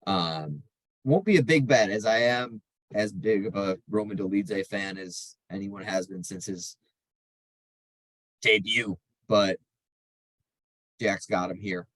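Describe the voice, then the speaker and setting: A man's voice: lowish voice, unhurried, no room sound, poor audio. A man co-hosting a podcast, giving his betting takes in an emphatic way with frequent ums. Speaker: podcast co-host. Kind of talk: casual betting chat.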